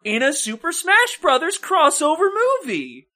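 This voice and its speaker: A man with a notably high, bright, forceful voice talks casually as a podcast co-host. He is blunt and emphatic, swearing freely as he gives opinions on film. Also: huge pitch swings, normal speed.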